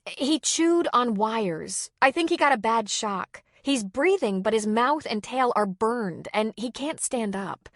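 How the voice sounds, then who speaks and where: A woman's voice: a little high, animated, measured pace, few pauses, no echo, clean studio sound, voice pushed up. A woman voicing a practical adult woman who gives firm, urgent instructions.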